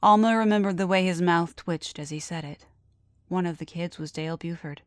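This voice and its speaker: A woman narrating a scripted horror story dramatically, often dropping to a hush at the ends of phrases. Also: lowish voice, fairly quick. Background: background music.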